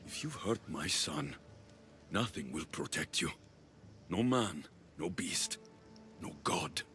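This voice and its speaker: A man's voice: deep voice, lively intonation, takes it slowly, pushed and bright, faint music behind, exaggerated voice. An actor playing a fierce, determined Greek warrior and father in a video game, intense and threatening. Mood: grim threat. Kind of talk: video game cutscene acting.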